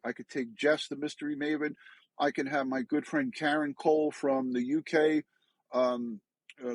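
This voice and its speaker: A man's voice: a little high, slightly rough, dry recording. A middle-aged man, a retired law enforcement officer, giving earnest, emphatic and disapproving opinion commentary.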